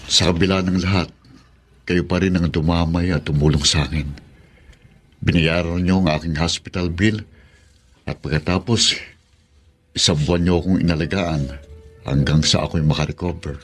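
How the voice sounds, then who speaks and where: A very deep-voiced, humble Filipino son. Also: male voice, fairly even intonation, moderate pace, long pauses, husky. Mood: grateful. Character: grateful, humble adopted adult son, softened and sincere. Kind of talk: radio drama acting.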